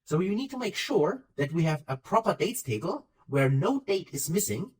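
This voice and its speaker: A man whose first language is German, talking through a software tutorial step by step, with fillers and quick self-corrections. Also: sits high, big pitch swings, recorded from a distance. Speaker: software instructor. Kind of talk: screen-recorded software tutorial.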